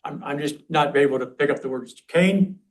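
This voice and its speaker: A man's voice: a little high, moderate pace. Man leading an informal committee meeting. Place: council meeting room.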